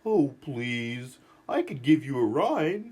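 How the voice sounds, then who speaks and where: A man's voice: mid-range voice, big pitch swings, slow, husky, voice pushed down, full character voice. A man as a mild, coaxing storybook pony. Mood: patient coaxing. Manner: patient, gently suggesting. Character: mild, coaxing pony who turns melodramatically wounded. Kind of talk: storybook read-aloud.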